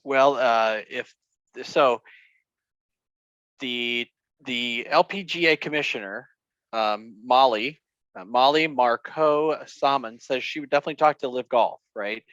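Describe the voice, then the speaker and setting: A man's voice: mid-range voice, unhurried, a bit bright, dry recording. Guy reading off golf news with lots of ums, kinda muffled dry audio. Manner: hesitant, many fillers. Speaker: podcast co-host. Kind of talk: casual sports chat.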